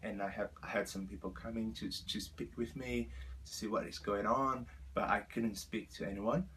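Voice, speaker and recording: A Brazilian man in his twenties, a native Portuguese speaker, talks to camera in earnest, halting English with a Brazilian Portuguese accent. His voice sits on the low side, and he pads his sentences with fillers and restarts. A faint hum of ambient noise runs underneath. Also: steady tone, fairly bright tone, poor audio. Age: 20s. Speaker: vlogger. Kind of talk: personal storytelling to camera.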